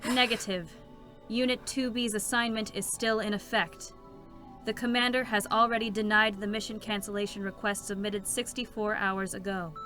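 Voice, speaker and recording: Casting: actress as machine assistant, cold and logical, formal clipped reporting, medium female pitch, game drama with light music behind. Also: fairly even intonation, normal speed, sharp tone, close to an everyday voice. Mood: insistent, formal. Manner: clipped, formal, procedural, emotionless delivery. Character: cold, logical robotic support unit. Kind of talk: dramatic staged reading of a game story. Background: faint music.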